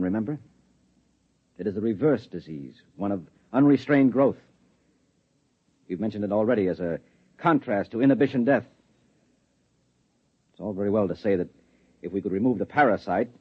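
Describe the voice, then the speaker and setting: An actor playing a controlled husband with a secret job, calm, lecturing and patronizing, in a 1950s radio drama. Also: male voice, fairly low pitch, fairly quick, pauses often, breathy edge. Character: controlled husband hiding a secret, a security man. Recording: dull lo-fi 1950s radio recording.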